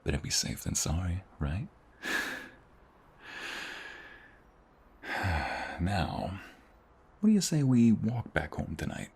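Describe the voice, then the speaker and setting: Casting: male actor for devoted, teasing young husband, intimate ASMR roleplay. Deep register, unhurried, tender and hushed, with gravelly husk. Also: sing-song, heavily acted. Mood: relaxed, warm. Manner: tender, teasing, hushed, lingering delivery with sighs and soft chuckles. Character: affectionate, teasing young husband, mobster's son on the run.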